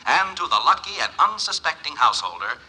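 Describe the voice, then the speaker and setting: A man's voice: fairly high pitch, sing-song, fast talker, bright, forceful tone, slightly rough, heavily acted, poor audio. An American man playing a hearty 1950s radio game show announcer. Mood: promotional.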